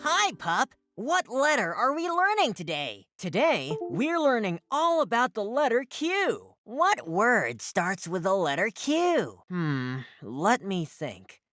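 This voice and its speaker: A woman's voice: medium pitch, sing-song, slow, few pauses, fairly bright tone, faint music behind. A woman voicing a friendly teacher in a scripted educational cartoon for children, with a sing-song, instructive delivery. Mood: cheerful, instructive.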